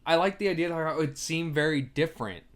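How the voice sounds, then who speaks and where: A man's voice: a little high, fast talker, a bit bright. A man co-hosting a movie review podcast, talking casually and loosely as he searches for words. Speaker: podcast co-host. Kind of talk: casual film review chat.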